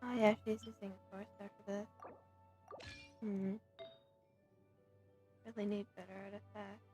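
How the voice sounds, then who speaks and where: Slow, mellow woman musing over a game. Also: medium pitch, flat delivery, dull audio, faint music behind. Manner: hesitant murmuring with many ums, thinking aloud. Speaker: streamer. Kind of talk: casual gameplay commentary.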